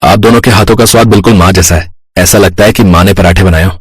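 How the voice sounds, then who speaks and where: Man acting a low, husky, cheerful young brother. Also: steady tone, fast. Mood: delighted. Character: doted-on young brother, cheerful, affectionate, reassuring.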